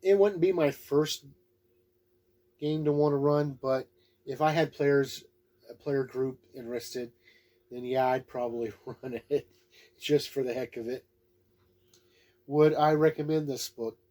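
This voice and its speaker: A man's voice: medium pitch, fairly even intonation, a little slow, long pauses, mellow. A man giving a casual, unscripted review in a folksy, plain-spoken, laid-back way. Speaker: tabletop game book reviewer.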